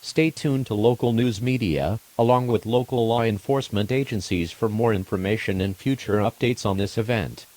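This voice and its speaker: Formal low-voiced man reading emergency alerts. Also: moderate pace, few pauses, a little mellow, a little hiss or hum. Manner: formal, impersonal. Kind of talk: formal scripted emergency broadcast.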